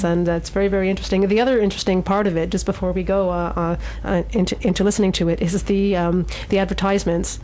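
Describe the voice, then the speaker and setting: A woman's voice: mid-range voice, darkish tone, slight background noise. A woman hosting a radio show, chatting casually and with enthusiasm about the subject.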